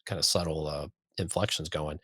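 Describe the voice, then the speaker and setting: Deep, husky man chatting on a podcast. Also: steady tone, moderate pace, fairly bright tone, no echo. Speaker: podcast co-host. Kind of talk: casual discussion commenting on an audio drama.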